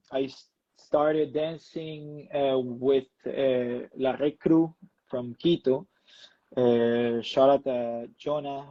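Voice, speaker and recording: A man from Ecuador speaks in a warm, mellow tone, telling his story in a loose, rambling way full of fillers and restarts. The sound is dry and a little muffled, close to the mic. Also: mid-range voice, no room sound. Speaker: electro dancer. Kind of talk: casual interview talk.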